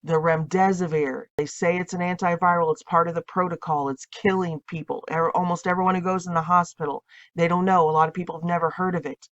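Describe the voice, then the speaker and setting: A woman's voice: fairly low pitch, steady tone, quick pace, dry recording, muffled recording. Nasal, congested woman preaching fervently. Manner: fervent, preachy, rambling with laughs. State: recovering from illness, congested. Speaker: online video host. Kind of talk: casual preaching monologue to camera.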